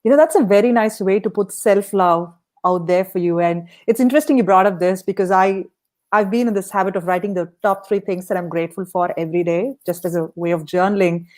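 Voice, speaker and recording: Female interview host, medium pitch, conversational, appreciative and affirming delivery. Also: a little fast, darkish tone. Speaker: podcast host.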